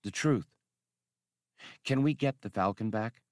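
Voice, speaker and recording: A man voicing a sharp, challenging, distrustful woman in an audiobook, speaking in a pointed, probing way. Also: fairly low pitch, unhurried, no echo, close to an everyday voice. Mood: worried. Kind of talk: audiobook character voice.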